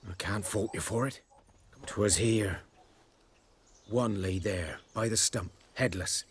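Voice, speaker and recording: An actor playing a wary, courteous village huntsman in a fantasy game, speaking in a folksy, halting manner. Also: on the low side, slight background noise. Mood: wary, subdued. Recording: birdsong and outdoor ambience behind.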